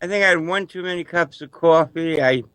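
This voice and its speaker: A man on a music review panel, hoarse and losing his voice, teasing the others in a mock-confrontational way. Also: a little high, fairly quick, fairly bright tone, dry recording. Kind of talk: joking panel banter.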